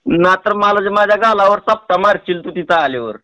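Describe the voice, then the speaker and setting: A man with a noticeably high voice and a rural Marathi accent chats on a prank phone call. He coaxes and teases persistently, and the sound is dry and close. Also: a little fast, sharp tone, dry recording. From Tuljapur, Maharashtra, India. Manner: playful, coaxing, pestering. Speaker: prank caller.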